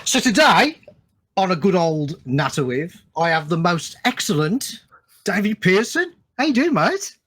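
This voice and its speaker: A man's voice: sits high, big pitch swings, a little slow, a bit bright, husky. A British man hosting a podcast, chatting and asking questions in an informal, matey way. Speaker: podcast host. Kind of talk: casual interview chat.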